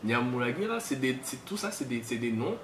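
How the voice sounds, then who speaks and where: A man's voice: medium pitch, sing-song, fairly quick. A man with a Congolese accent gives an unscripted explanatory talk, teaching step by step and often repeating himself. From DR Congo, Kasai region. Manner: didactic, step-by-step with repetition. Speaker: commentator on history. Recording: echoey room, faint background noise.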